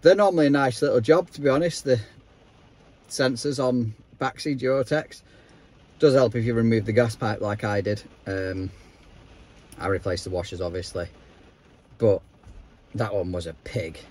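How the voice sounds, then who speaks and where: A man's voice: fairly low pitch, darkish tone, slight background noise. A man in his thirties, a plumber, narrating matter-of-factly as he works on a job in a customer's home. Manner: matter-of-fact, talks while working. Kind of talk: working vlog narration.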